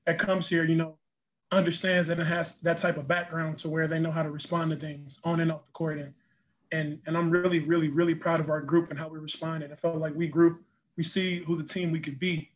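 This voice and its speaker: An earnest young man answers post-game questions over a video call from a room in front of a press backdrop. His voice sits a little high and stays flat, and he leans on "you know" and repeated words. A faint hiss sits under the call. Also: a little fast, rough recording. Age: young adult. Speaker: college basketball player.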